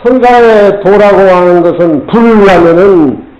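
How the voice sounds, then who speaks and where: An older man teaching a Buddhist scripture to a group of students as a Zen teacher, talking gruffly and constantly prodding them with "eh?". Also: high-pitched, a little slow, darkish tone. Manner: gruff, keeps prodding listeners with "eh?". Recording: very echoey, dull lo-fi tape with faint hiss.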